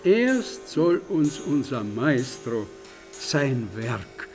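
An actor in a German radio play playing a genial aristocratic host with a courtly, measured manner. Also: male voice, mid-range voice, sing-song, a little mellow. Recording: faint music behind the voice.

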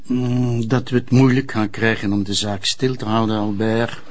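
An actor playing a cautious Flemish public prosecutor in a drama, questioning and hesitant. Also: male voice, on the low side, level tone, moderate pace, faint music behind, lightly acted. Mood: doubting, hesitant. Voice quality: husky. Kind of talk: drama acting.

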